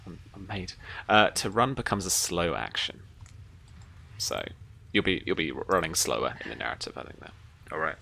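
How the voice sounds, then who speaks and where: Low, creaky-voiced game master. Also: expressive, normal speed, bright, forceful tone, a little hiss or hum. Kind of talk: running a tabletop roleplaying game, casual.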